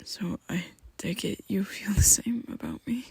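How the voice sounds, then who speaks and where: A woman voicing a shy, tender young male vampire best friend in an ASMR roleplay, whispering softly with hesitant, halting speech. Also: mid-range voice, gravelly, slightly rough, exaggerated voice.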